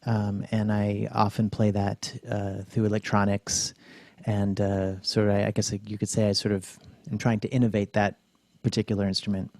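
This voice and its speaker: Man, flat intonation, warm dark tone, careful casual self-introduction, video call. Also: on the low side, normal speed, no echo. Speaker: composer and multi-instrumentalist.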